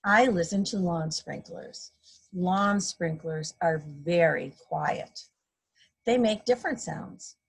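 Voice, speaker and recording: A woman reads a children's story at a slow, deliberate pace. Her voice is gravelly and a little rough, and she explains words simply for small listeners, playing up the little sound effects. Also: fairly low pitch. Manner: playfully acts out sound effects. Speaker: guest storybook reader, a mother. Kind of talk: read-aloud children's story time, simple teacherly explaining.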